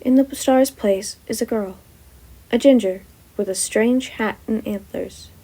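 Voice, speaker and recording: A woman narrating a fan-fiction podfic in a plain, steady storytelling manner. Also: a little high, a little slow, rough recording. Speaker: podfic reader.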